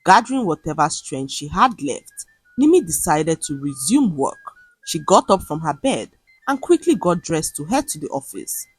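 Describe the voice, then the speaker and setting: A woman tells a dramatic story in a fairly low voice with a husky edge. Her scripted narration rises and falls in a very animated way. The recording is dry, with music playing behind her. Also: normal speed, low-quality recording.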